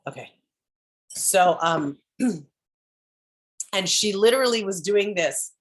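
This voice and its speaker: A woman with a deep voice telling a rambling story on a video call from home, with many restarts and throat clearing. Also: expressive, slow. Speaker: spiritual group member sharing updates. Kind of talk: casual chat on a group call. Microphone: computer on a video call.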